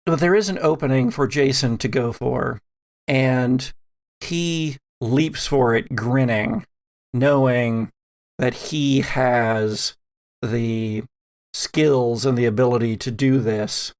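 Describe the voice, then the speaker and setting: A man runs a tabletop horror game in a creaky, mid-pitched voice. He narrates slowly and deliberately, leaving dramatic pauses between phrases. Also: fairly even intonation. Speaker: game master.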